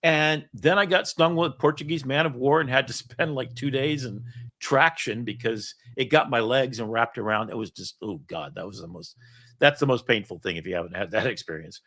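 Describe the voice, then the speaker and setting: A man's voice: mid-range voice, normal speed. A middle-aged man, the host, telling rambling stories in casual chat, laughing often. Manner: storytelling, animated, laughs often. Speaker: podcast host.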